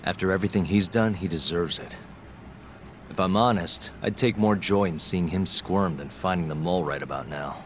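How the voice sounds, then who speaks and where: An actor playing a cool, dryly vengeful private detective in a video game cutscene, laid-back and dry. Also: male voice, low voice, normal speed, background noise, natural voice. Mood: bitter, resolved.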